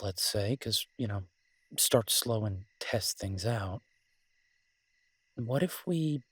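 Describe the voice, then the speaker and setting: Low, husky, tender werewolf boyfriend played by a man. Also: fairly bright tone, no room sound, heavily acted. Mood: hopeful, affectionate. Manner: hesitant, tender, conversational, lightly teasing. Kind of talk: romantic roleplay audio, intimate casual conversation.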